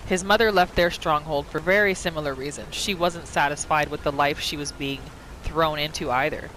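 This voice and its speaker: A woman hosting a gaming video, giving expressive roleplay commentary as she plays. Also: low-pitched, moderate pace. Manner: animated, expressive reactions. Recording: voice over noisy game ambience. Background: video game ambience.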